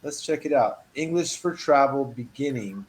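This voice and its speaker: A man teaching a one-on-one English lesson over a video call, patient and encouraging, reading model phrases with lively emphasis. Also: mid-range voice, normal speed, slight background noise, rough recording. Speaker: English tutor.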